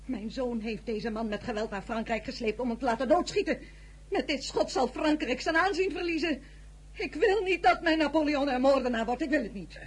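A woman's voice: high-pitched, brisk, slightly rough. A woman playing an anguished, proud older mother in a radio drama, speaking formally, emphatically and imploringly. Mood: indignant, anguished. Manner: formal, emphatic, pleading, with Italian words mixed in. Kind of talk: Dutch radio drama acting.

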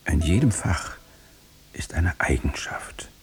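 An actor playing an eerie, theatrical man in a radio drama, halting as he describes a futuristic laboratory and dropping into whispers. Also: low voice, animated. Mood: hushed, suggestive. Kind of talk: German radio drama acting.

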